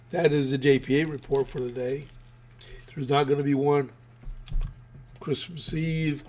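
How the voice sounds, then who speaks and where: A man's voice: mid-range voice, a little mellow. A man from Texas hosting his own political news show, talking casually with many fillers and restarts while losing his voice.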